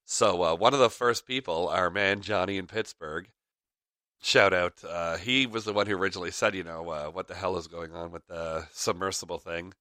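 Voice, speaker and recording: Podcast host, male, low voice with a slight rasp, rambling, filler-heavy delivery, dry sound. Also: moderate pace, bright, forceful tone, no room sound. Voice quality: raspy. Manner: rambling, frequent fillers, chuckles. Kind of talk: casual rambling chat.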